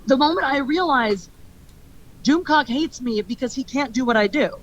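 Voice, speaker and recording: A woman streamer and stand-up comic ranting in a rambling, petty and animated way. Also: high-pitched, moderate pace. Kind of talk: clip of a livestream rant. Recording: muffled clip with faint noise.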